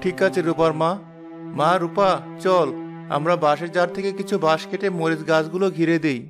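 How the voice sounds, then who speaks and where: Guy doing humble broke village father, cartoon, high pleading voice, music behind. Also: steady tone, fairly quick, lightly acted. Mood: caring. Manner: plaintive, pleading. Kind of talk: moral-story cartoon acting.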